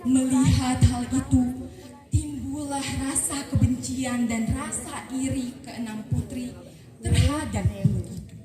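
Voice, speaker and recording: A teenage girl from eastern Indonesia telling a folktale in a slow, careful, sing-song storytelling voice. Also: sits high, fairly even intonation, recorded from a distance. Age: teens. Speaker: student storyteller. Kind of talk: folktale narration. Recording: echoey room, voice set back from the mic. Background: music playing behind the voice.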